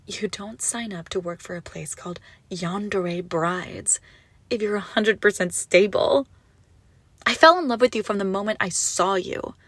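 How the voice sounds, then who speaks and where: A woman playing an obsessive, gushing yandere kidnapper in a roleplay audio drama, menacingly sweet, with breathy, doting coos. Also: mid-range voice, dry recording, natural voice. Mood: hushed, coaxing. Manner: breathy doting coos, often dropping to whispers. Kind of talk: roleplay audio drama, intimate monologue to listener.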